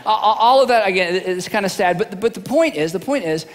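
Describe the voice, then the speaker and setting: A man's voice: sits high, lively intonation, fast talker, in a large hall. A middle-aged male pastor preaching a sermon in a casual, humorous way, often repeating words as he goes.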